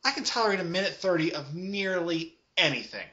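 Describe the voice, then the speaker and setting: A man's voice: high voice, animated, brisk, sharp tone, dull audio. A man giving sarcastic review commentary in a dry, emphatic way. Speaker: comic reviewer.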